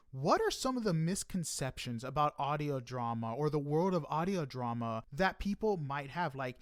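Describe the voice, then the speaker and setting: A man with a slightly high voice hosts a casual interview. He sounds close and dry, speaking informally in an encouraging, agreeable manner. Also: no room sound. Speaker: podcast interviewer.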